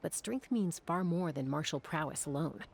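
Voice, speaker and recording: A woman playing a composed, earnest young warrior in a video game, speaking calmly and firmly. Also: low voice, normal speed, gravelly, a little hiss or hum.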